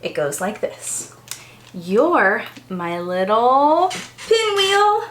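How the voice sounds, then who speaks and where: A woman's voice: fairly high pitch, sing-song, extremely slow, fairly bright tone, reverberant, a little hiss or hum. An American woman hosting a read-aloud storytime for young children, speaking with bubbly, exaggerated enthusiasm as a teacher does to little ones.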